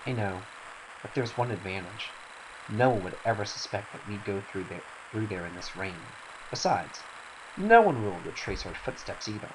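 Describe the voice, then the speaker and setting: A man's voice: on the low side, animated, moderate pace, lightly acted. A man playing a troubled, principled young warrior in a game scene, earnest and hesitant. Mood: resolute. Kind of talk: dramatic reading of game cutscene dialogue. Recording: faint hiss.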